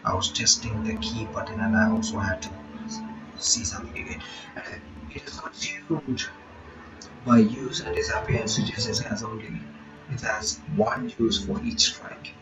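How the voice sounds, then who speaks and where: A man reading a visual novel aloud in a halting way, stopping for casual asides to his viewers. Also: lowish voice, fairly even intonation. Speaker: reaction channel host. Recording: dull lo-fi audio with music behind.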